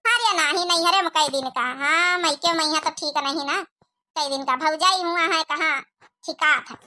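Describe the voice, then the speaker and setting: An older village mother-in-law from a cartoon comedy, played by a woman, speaking with an Awadhi accent. The voice is extremely high and very sharp. She is gossipy and folksy, quick to scold but keen to keep the peace. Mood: weary, placating.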